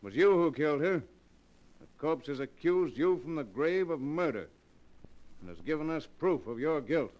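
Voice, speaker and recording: An actor playing a calm, exacting county coroner in a vintage radio drama, speaking deliberately. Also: male voice, on the high side, dull audio. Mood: measured. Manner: deliberate, measured. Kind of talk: 1940s radio acting.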